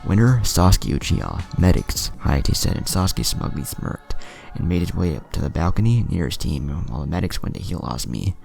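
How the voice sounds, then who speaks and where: A man's voice: deep voice, a little fast, gravelly, few pauses, a little mellow, faint music behind. A man narrating anime fan fiction as a storyteller.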